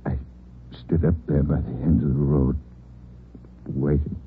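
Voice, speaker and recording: An actor playing a grief-stricken father in deep shock, speaking in halting, broken phrases and repeating himself, in a 1950s radio drama. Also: fairly even intonation, slight background noise. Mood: dazed. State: in shock, distraught. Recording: narrow-band old radio broadcast.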